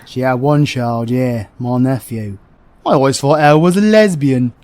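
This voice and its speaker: Woman as a cocky, low-voiced British male villain. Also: normal speed. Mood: subdued, wistful. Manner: crude, sarcastic, excitable. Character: cocky, foul-mouthed male villain forced into Scrooge's role. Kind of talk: comic audio drama acting.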